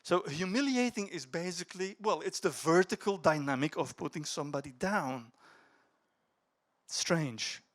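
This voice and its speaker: A man's voice: on the high side, expressive, a little slow. A middle-aged man giving a talk to an audience, telling an anecdote and animatedly quoting dialogue before reflecting on it. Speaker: speaker at a youth debate.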